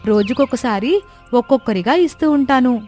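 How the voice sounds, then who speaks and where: Young village daughter-in-law, story cartoon female performer: caring, earnest, slightly high, music behind. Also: expressive, moderate pace, a little mellow. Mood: hopeful. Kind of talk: children's moral-story cartoon voice.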